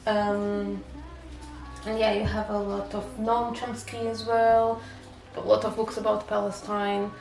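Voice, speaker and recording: A woman's voice: mid-range voice, flat delivery, a little slow, creaky, darkish tone, roomy sound, faint music behind, poor audio. A woman from Portugal with a Portuguese accent, English not her first language, chatting casually in a home vlog with many fillers and restarts. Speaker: booktuber.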